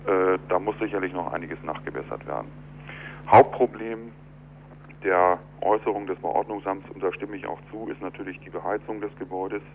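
A man speaking as an architect in a telephone interview, explaining in a measured, deliberate, argumentative way. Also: sits low. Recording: dull telephone line with clear hum.

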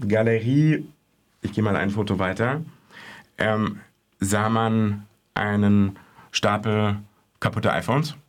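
A man with a fairly low voice talks through his report in a radio studio. He speaks hesitantly as a correspondent, with frequent fillers and restarts. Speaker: art correspondent. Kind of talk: casual radio chat, reporting on art fairs.

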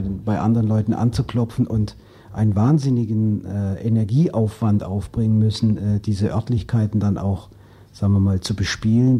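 Very dark, husky-voiced man being interviewed. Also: lowish voice. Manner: halting, repeats words while explaining. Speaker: interview guest, cultural association representative. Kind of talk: spontaneous interview answer. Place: radio studio.

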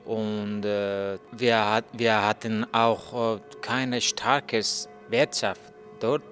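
A man, telling his story as a journalist in halting speech full of fillers and restarts. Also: on the low side, level tone, a bit bright, faint music behind. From Afghanistan. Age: 30s. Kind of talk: hesitant interview answers in learner German.

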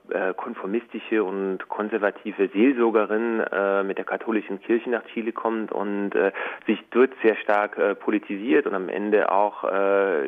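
A man being interviewed, explaining at length in an informal, halting way with many hesitation sounds. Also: on the low side. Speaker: interview guest from a Latin America news collective. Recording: tinny, narrow phone-like line.

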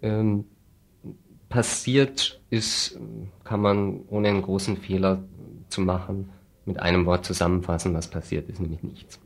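A man, an activist being interviewed, explaining things deliberately in a critical, dryly sardonic manner. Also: low voice, flat delivery. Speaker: refugee aid activist, interview guest. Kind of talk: spontaneous explanatory interview talk.

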